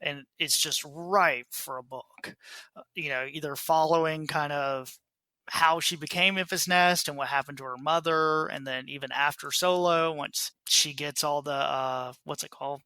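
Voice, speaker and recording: Bright, higher-voiced man chatting casually. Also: fairly even intonation, moderate pace, clear, resonant tone, dry recording. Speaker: podcast co-host. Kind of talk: casual book club podcast chat.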